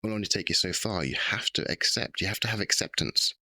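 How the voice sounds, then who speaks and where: A man hosting a casual podcast conversation, speaking in a halting way with pauses and repeated words. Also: on the low side, sharp tone, no echo. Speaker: podcast host.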